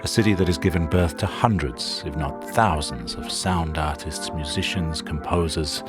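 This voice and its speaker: A man hosting a sound documentary, narrating a scripted introduction in a measured, wry storytelling manner. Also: a bass voice. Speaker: show host and narrator. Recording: narration over loud music.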